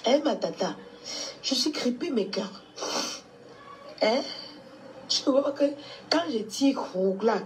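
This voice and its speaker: Slow-talking woman with a cold. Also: a little high, animated, slight background noise. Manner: motherly, scolding fans to be polite. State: has a cold, coughing. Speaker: live streamer addressing fans. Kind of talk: casual live stream address.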